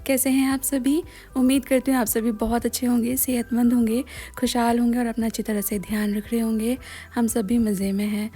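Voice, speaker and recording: A woman's voice: a little high, little pitch movement, fairly quick, dark tone, husky. Earnest Indian woman, scratchy throat. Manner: candid, earnest, mixes in English words. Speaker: vlogger. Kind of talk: casual vlog talk to viewers. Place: home kitchen.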